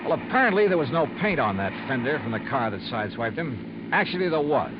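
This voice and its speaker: A man's voice: mid-range voice, big pitch swings, fast, close to an everyday voice. An actor playing a dry-witted male insurance investigator in a 1950s radio drama, narrating laconically. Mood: calm. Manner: laconic, dryly wry narration. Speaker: lead character and narrator. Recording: dull old radio broadcast with loud hiss.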